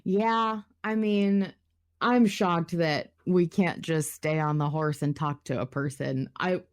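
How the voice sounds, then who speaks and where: Woman podcast host w a slightly low voice, just chatting and thinking out loud. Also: slow. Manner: conversational, thinking aloud. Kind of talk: casual discussion of a story podcast, reading listener comments.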